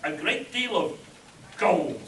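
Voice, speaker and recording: A man's voice: on the high side, sing-song, slow, fairly bright tone, heavily acted. A man playing a pompous, dignified Mayan king with grand formal delivery in a spoof radio adventure. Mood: solemn. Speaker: amateur actor in a live radio play. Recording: echoey live hall, distant mic.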